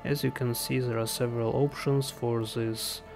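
Guy doing a casual game settings walkthrough, really even flat voice, halting, music playing behind him. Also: medium pitch, vocal fry. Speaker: gaming tutorial host. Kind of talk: casual tutorial narration. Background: background music.

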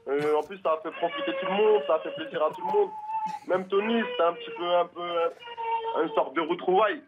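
A man's voice: high voice, big pitch swings, a little fast. Dull, noisy recording of a chatty man. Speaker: guest. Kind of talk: casual chat.

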